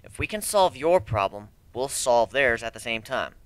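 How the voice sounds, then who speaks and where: A man's voice: medium pitch, expressive, normal speed, dry recording, natural voice. A man voicing a brash, cocky griffin adventurer with a blunt, sardonic way of talking, in a fantasy story reading. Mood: negotiating.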